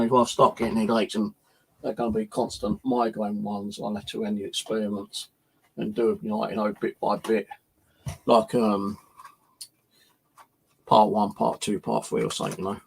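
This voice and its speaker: A man's voice: fairly low pitch, gravelly, husky, poor audio. A British man chatting casually on a livestream as its host, rambling and hesitant in an informal way.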